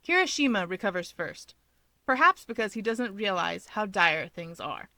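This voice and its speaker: A woman's voice: medium pitch, expressive, normal speed, bright, forceful tone, no room sound. A woman narrating scripted fiction expressively as a storyteller.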